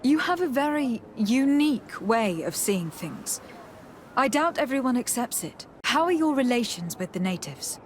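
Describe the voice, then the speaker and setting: A courteous young noblewoman diplomat from a fantasy video game. Her voice is fairly high, measured and inquisitive, with clear ambience behind it. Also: sing-song, a little hiss or hum. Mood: probing. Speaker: investigator.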